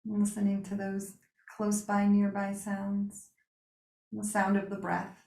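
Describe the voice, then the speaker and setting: A woman guiding a relaxation meditation with gentle spoken instruction, pausing and reassuring in a soothing way. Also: mid-range voice, completely flat delivery, gravelly. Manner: unhurried pauses, soothing reassurance. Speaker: yoga nidra meditation guide. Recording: roomy, echoing sound.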